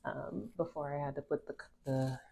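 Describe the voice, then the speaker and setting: A woman's voice: sits low, moderate pace, gravelly, warm tone. A woman with a stuffy, nasal voice from allergies, giving a casual channeled tarot card reading to a phone camera, rambling with restarts and a lot of "like". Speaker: tarot reader.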